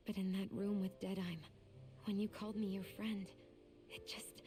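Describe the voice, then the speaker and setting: A woman's voice: lowish voice, a little mellow, close to an everyday voice. An actress playing a guarded but vulnerable young woman in a fantasy video game, speaking sincerely and confessionally. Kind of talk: video game English voice acting. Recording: music behind the voice. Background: game music.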